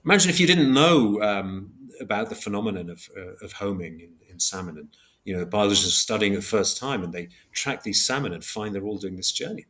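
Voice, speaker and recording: A man giving an academic explanation in an interview, measured and hesitant, working step by step through examples. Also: lowish voice, a bit bright. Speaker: podcast guest, philosopher of biology.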